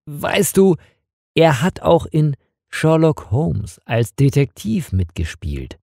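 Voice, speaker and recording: A woman's voice: sits low, big pitch swings, creaky, warm tone, no echo, studio quality, pitched above the performer's own voice, heavily acted. A reader voicing a young woman who hesitates while searching for a word in a storybook. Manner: hesitant, halting. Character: young woman groping for a name.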